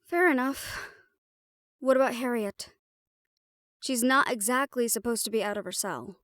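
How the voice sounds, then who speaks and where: A sardonic, bossy siren from a fantasy audio drama voiced by a woman, slightly high in pitch. She speaks with sarcastic emphasis and sounds close and dry, quick to show exasperation. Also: fairly bright tone, no room sound. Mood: wry, teasing. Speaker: character in fantasy audio roleplay. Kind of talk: dramatic audio roleplay acting.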